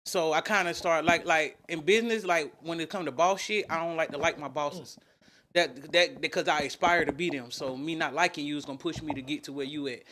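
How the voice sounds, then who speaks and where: A man's voice: a little high, fairly quick, bright, forceful tone, a little hiss or hum. A young man co-hosting a podcast, telling stories in loose, profane slang. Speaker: podcast co-host. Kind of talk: casual chat.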